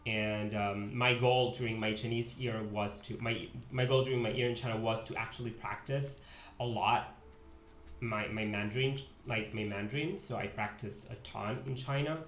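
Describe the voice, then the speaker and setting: A man's voice: on the low side, fairly even intonation, normal speed, fairly bright tone, soft background music. Italian man streaming, echoey room. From Italy. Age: 20s. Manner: rambling, self-correcting, reads chat aloud. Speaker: pixel art streamer. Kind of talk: casual live-stream chat while drawing. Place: home desk room. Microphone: headset.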